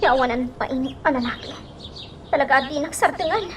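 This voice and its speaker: A woman's voice: high voice, a little fast, slight background noise, lightly acted. An actress playing a frightened young woman under threat in an Ilocano radio drama. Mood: upset. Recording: loud music under the voice.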